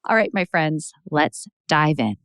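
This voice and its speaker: A woman podcast host with a somewhat low voice, speaking in an inviting, attentive way. The sound is close and dry. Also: animated, a little slow, smooth, no room sound. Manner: warm, encouraging listener, many "mm" backchannels. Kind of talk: podcast hosting.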